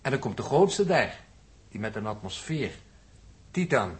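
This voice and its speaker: An actor playing a polite, bookish space scientist in a 1960s Dutch radio play, explaining things in a formal, exact way with a Netherlands accent. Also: male voice, mid-range voice, sing-song, a little slow. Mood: calm, instructive. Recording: 1960s radio drama recording.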